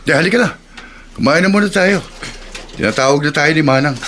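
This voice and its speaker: An actor playing a friendly, encouraging household coworker with an informal, coaxing manner, in a Tagalog radio drama. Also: male voice, fairly high pitch, a little fast, a bit bright, slight background noise, natural voice.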